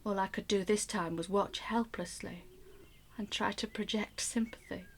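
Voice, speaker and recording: An actress playing an anxious middle-aged mother, telling her story in an expressive, wry first-person radio play monologue. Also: medium pitch, normal speed, breathy edge. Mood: exasperated, wry. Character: anxious middle-aged mother recounting a troubled family holiday.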